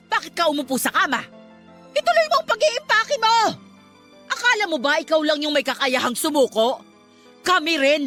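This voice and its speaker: A stern, devout aunt in a radio drama , played by a woman. Her voice is high and swoops expressively with emotion. She speaks emphatically to her nephew, over a music bed. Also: sharp tone, exaggerated voice. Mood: furious, exasperated. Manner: emphatic, emotional, scolding and pleading. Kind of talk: Tagalog radio drama acting.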